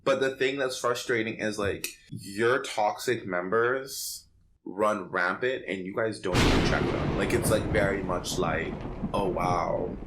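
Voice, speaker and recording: A man's voice: fairly low pitch, unhurried, background noise. Man, podcast host, casual opinionated chat, sassy, slangy, emphatic.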